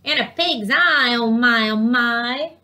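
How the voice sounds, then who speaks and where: A woman voicing a feisty, stubborn old granny in a storybook, with folksy, emphatic drawn-out exclamations. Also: a little high, sharp tone. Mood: scornful.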